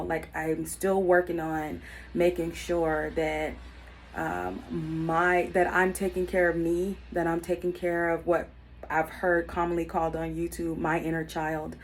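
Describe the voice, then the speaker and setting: A woman in her thirties talking unscripted to camera, earnest and reflective, with many pauses and filler words as she gives life advice. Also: fairly low pitch, slight background noise. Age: 30s. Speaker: YouTube self-help vlogger. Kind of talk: unscripted personal talk to camera.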